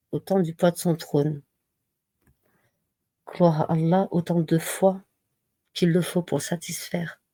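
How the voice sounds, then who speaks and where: A woman giving a slow, measured devotional reading and reminder, pausing often between phrases. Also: fairly low pitch, dark tone, no echo. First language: not Arabic. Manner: slow, measured recitation with pauses. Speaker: religious reminder speaker.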